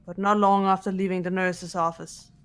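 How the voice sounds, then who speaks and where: A woman's voice: lowish voice, flat delivery, moderate pace, clean tone, faint music behind, natural voice. Woman playing a composed, serious girl detective. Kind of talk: dramatic game dialogue and recap narration.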